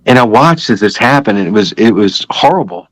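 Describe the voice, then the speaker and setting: A man's voice: fairly low pitch, lively intonation, fairly quick, a bit bright, no echo, low-quality recording. An older man giving a personal religious testimony as a guest, telling it in an emphatic, repetitive, conversational way.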